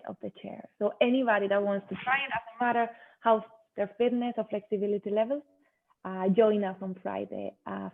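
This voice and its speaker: A woman's voice: mid-range voice, vocal fry. A woman with a Spanish accent, a native Spanish speaker, talking enthusiastically and at length as a yoga instructor being interviewed over an online call. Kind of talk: conversational interview. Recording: thin phone-like call audio.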